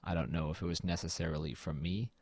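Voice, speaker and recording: A man's voice: deep voice, steady tone, fast talker, a little mellow, breathy edge, no room sound. A man playing a brooding, melancholy artist who narrates an audio drama in a reflective, understated, slightly hesitant way. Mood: brooding, uneasy.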